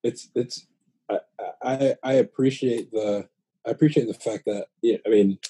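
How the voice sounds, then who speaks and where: A man in his late thirties with an American accent speaks in a mellow voice, recorded close and dry. He chats in a sincere, reflective way, often pausing and repeating himself as he thinks. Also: mid-range voice, steady tone, husky, no echo. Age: late 30s. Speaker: podcast guest, new father. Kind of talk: casual heartfelt chat.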